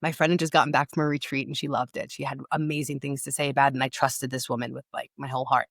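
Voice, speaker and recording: A woman's voice: extremely low pitch, level tone, quick pace, no room sound, studio quality. A middle-aged woman from New York with a New York accent, telling her own story candidly and emphatically as a podcast guest. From New York, USA. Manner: candid, emphatic, repeats words for stress. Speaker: podcast guest, loss and empowerment coach. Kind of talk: personal storytelling in a podcast interview.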